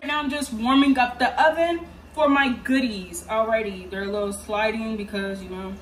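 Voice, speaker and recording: Distant, high-voiced young American woman. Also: moderate pace. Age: young adult. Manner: slangy, playful, talking to viewers. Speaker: home cooking vlogger. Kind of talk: casual cooking vlog chat. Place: small home kitchen, indoors. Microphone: phone or camera propped at a distance. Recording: distant mic, noisy home kitchen ambience.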